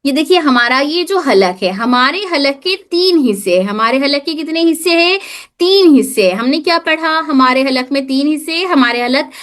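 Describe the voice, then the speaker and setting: A woman's voice: high-pitched, expressive, moderate pace, a bit bright. A woman teacher explaining a recitation lesson live, drilling points by asking questions and repeating the answers, mixing in English words. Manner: mixes English words into Hindi speech.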